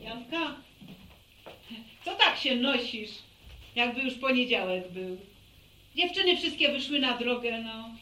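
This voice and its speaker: A woman's voice: on the high side, expressive, measured pace, pauses often, pushed and bright, a little hiss or hum. An actress playing a bossy, fussing village mother in a radio drama, nagging in speech. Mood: scolding, insistent. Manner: scolding, nagging, folksy.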